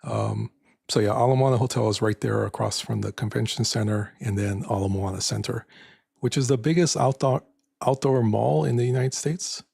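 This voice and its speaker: Raspy, gravelly older man, soft and halting. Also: mid-range voice, no echo, studio quality. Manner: soft-spoken, halting, with many fillers. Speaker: live-stream host. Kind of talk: casual live-stream chat.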